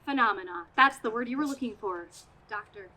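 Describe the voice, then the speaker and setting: A woman's voice: a little high, brisk, bright, forceful tone. A woman playing an earnest, self-important scientist's assistant in a comic stage radio play, precise and dramatic. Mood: meek, earnest. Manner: precise, dramatic, correcting others. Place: outdoor stage performance.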